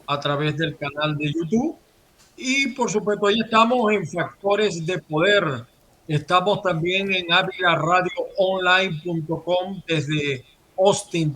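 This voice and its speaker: A man's voice: on the high side, fairly bright tone, low-quality recording. A man from Venezuela hosting a political talk show, formal and broadcast-like as he greets listeners and questions a guest. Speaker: host.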